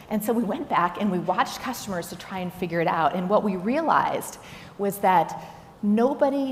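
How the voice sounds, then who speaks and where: A woman executive giving a prepared business keynote, speaking in an instructive, emphatic way with rhetorical questions. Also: mid-range voice. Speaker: chief executive giving a conference talk. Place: large indoor talk venue. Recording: echoey hall, noticeable background noise.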